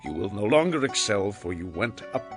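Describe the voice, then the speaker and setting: A solemn, dramatic old patriarch. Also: male voice, on the low side, big pitch swings, normal speed, gravelly, faint music behind. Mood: solemn, grave. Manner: grave, declamatory, dramatic swells and hushes. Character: aged dying patriarch, solemn father blessing his sons. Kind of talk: dramatized scripture reading.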